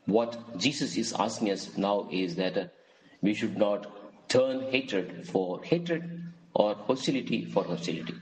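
Low-voiced man preaching a homily. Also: expressive, poor audio. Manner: earnest, measured, lecturing with rhetorical questions. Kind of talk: gospel reading and homily.

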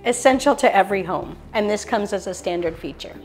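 Enthusiastic middle-aged woman pitching luxury homes. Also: fairly quick, soft background music. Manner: enthusiastic, salesy, lists features. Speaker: sales director giving a property tour. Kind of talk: polished real estate showcase tour. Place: show apartment kitchen, indoors.

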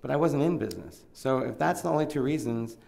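A man's voice: mid-range voice, fast, vocal fry, darkish tone. A middle-aged man from Oregon, a small farmer, giving earnest, rambling public comment at a county meeting through a table microphone. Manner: earnest, rambling, plain-spoken pleading. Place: county commission hearing room, indoors. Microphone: table microphone, seated.

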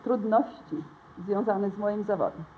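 A middle-aged woman, an opera singer, answering interview questions thoughtfully with pauses, in an ornate palace room. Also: medium pitch. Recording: tinny lo-fi 1970s TV sound with faint hiss.

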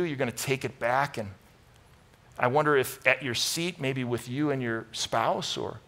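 A middle-aged American man delivers a sermon from a church stage. His voice is bright and forceful, at an ordinary male pitch. He speaks informally and earnestly, urging his listeners on as a pastor does. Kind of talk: conversational sermon to a congregation.

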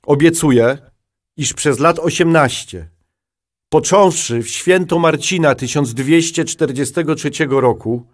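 Man playing a solemn, higher-voiced medieval duke. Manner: solemn, stately, formal. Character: pious medieval duke proclaiming a charter. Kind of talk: audio drama acting, formal proclamation.

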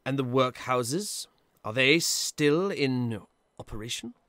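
An actor playing Scrooge, a bitter old miser who talks in a cold, dismissive, sneering way. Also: male voice, mid-range voice, expressive, takes it slowly, a bit bright, no room sound, studio quality. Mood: curt. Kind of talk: audio drama acting.

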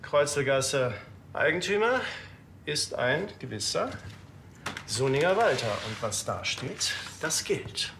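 An actor playing a slightly weary town hall official, wry and businesslike, in a TV crime comedy. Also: male voice, on the high side, very animated, takes it slowly, noisy background, heavily acted.

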